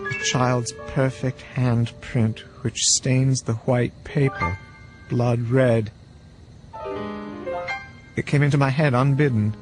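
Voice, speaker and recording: A man playing a weary, brooding first-person narrator, telling a story in a measured, reflective way. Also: mid-range voice, natural voice, low-quality recording. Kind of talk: scripted story narration, hardboiled. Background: music.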